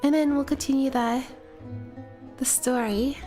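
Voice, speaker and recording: A woman's voice: high-pitched, steady tone, unhurried, over loud music. A woman streaming a game, talking casually to her viewers while stuffy from a cold. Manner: chatty, talks to viewers between game lines. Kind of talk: casual live-stream chat.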